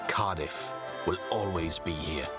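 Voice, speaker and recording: A man giving measured, inspiring scripted narration for a university film. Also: low-pitched. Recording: voice-over with loud music bed.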